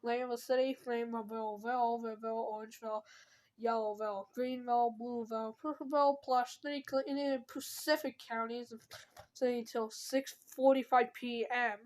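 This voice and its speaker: A woman's voice: fairly high pitch, steady tone, moderate pace, a little mellow. A woman announcing a scripted emergency weather bulletin, stumbling at times and correcting herself.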